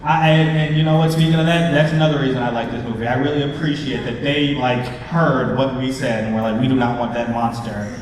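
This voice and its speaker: A man's voice: mid-range voice, little pitch movement, fairly quick, few pauses. A man hosting a fan panel about films, chatting informally and slangily in front of an audience. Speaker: panel host. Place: large convention panel room with audience. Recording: very echoey hall, distant mic, crowd noise.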